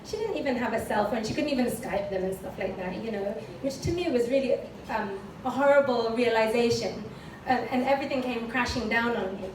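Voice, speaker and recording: A woman's voice: a little high, expressive, brisk, few pauses. A woman with a British accent giving a candid personal talk with slides, chatty and full of fillers. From United Kingdom. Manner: chatty and candid, frequent fillers, light laughs. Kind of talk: conference talk, personal story. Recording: echoey room, distant mic, background noise.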